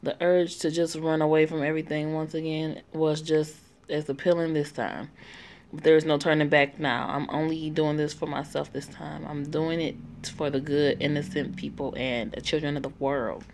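A woman with a low speaking voice reads a story game aloud and plays every part herself. She keeps stopping for loose, joking asides to her listeners. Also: flat delivery, moderate pace, a little mellow. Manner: reads all parts, breaks off with joking asides. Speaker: reader voicing a story game. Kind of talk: casual read-aloud with asides.

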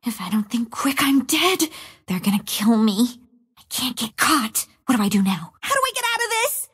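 A gleeful, cocky young assassin. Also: female voice, a little high, very animated, normal speed, a bit bright, slightly rough, heavily acted. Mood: worried. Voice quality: playful menace, taunting. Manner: gleeful, teasing, relishes killing. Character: cunning young female assassin, cocky and murderous. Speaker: video game character. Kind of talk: game voice lines. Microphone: studio mic.

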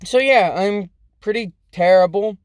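A young man rambling in a casual vlog from inside a car, halting and self-deprecating, with many restarts. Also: sits high, clear, resonant tone, no room sound, clean studio sound. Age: young adult. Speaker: vlogger. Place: inside a parked car, daytime. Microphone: phone camera held close.

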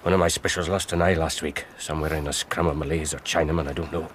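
A world-weary dockside policeman in a radio drama. The voice is deep and matter-of-fact, with faint ambience behind. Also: fairly even intonation, quick pace, slight background noise. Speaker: actor. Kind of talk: British radio drama acting.

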